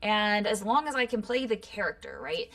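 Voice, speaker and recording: A woman voice actor from Los Angeles talks through a question at an online workshop. Her pitch sits in an ordinary middle range, and she explains with chatty, animated asides. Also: normal speed. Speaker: voice actor guest.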